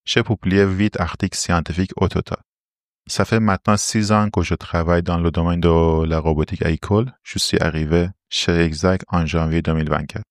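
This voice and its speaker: Low-voiced Iranian-accented man, formal and explanatory. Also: flat delivery, normal speed, no room sound, studio quality. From Iran. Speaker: interview guest, robotics R&D engineer. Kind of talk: prepared interview answers.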